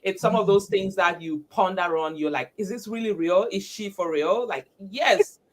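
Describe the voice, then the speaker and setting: A woman's voice: medium pitch, normal speed. A woman hosting a live online chat, chatty and enthusiastic, often calling people "girl". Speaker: livestream host. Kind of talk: casual live-stream chat.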